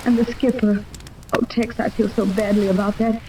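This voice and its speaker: A woman's voice: mid-range voice, level tone, moderate pace, mellow, natural voice. A woman playing an anxious, tender-hearted captive heroine in a 1930s radio serial, speaking with earnest, theatrical worry. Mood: distressed. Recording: noisy vintage 1930s radio recording.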